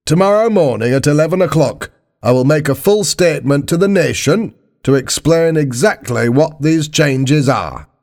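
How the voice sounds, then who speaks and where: Man as male Prime Minister, raised pitch, formal, earnest, self-justifying, audiobook speech. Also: expressive, moderate pace, darkish tone. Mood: solemn, pleading.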